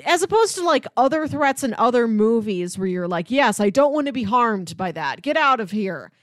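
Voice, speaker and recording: A woman's voice: on the high side, normal speed, no echo, studio quality. A woman co-hosting a movie podcast, chatting casually and acting out imagined lines as she goes. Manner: animated, acts out imagined dialogue. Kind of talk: casual film discussion.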